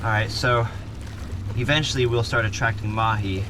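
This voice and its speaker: A man's voice: fairly low pitch, steady tone, normal speed. Man adrift at sea, noisy boat recording. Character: weary male castaway adrift in a life raft. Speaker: amateur actor in a survival dramatization. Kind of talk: improvised life raft survival acting. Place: small life raft out at sea. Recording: loud boat and water noise.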